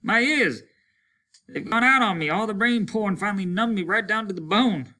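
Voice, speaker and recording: A man playing a hardened soldier at the end of his rope in a storybook reading. The voice is raised and strained, with a bright, hard edge. He speaks bluntly, with bitter fatalism. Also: sits high, lively intonation, moderate pace, pitched above the performer's own voice. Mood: weary, strained. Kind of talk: dramatic storybook character reading.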